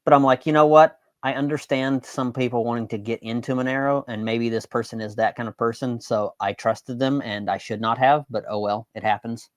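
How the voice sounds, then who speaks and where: A man's voice: medium pitch, steady tone, a little fast, hardly any pauses, no room sound. A man talking casually as a guest on a show, matter-of-fact and rambling, often repeating himself. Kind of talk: casual interview talk.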